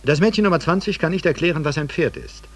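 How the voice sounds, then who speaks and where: A man's voice: on the high side, expressive, quick pace, faint music behind. A man playing a stern, pompous, fact-obsessed schoolmaster in a radio play, theatrical and emphatic in delivery. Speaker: narrator reading a literary excerpt. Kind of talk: dramatic literary reading in a radio play.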